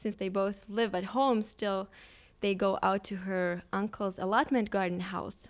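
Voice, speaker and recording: Lady on radio, lively, explains stuff, restarts a bit, studio. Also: mid-range voice, a little slow. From Finland. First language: Swedish. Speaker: guest singer. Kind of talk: radio interview conversation.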